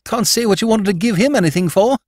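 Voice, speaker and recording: A man's voice: sits high, fast talker, darkish tone, no echo, studio quality, pitched above the performer's own voice. A man voicing a silly young lord with an airy, chatty, foppish manner in a storybook. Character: silly breezy young English lord, idle man-about-town.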